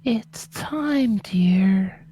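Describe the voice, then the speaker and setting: A man's voice: high voice, animated, breathy edge, full character voice. A man voicing a possessed mother in a radio drama read-through, cold and falsely sweet, with a cracking, hollow voice. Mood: cold, eerie sweetness. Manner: drawn-out, menacingly gentle.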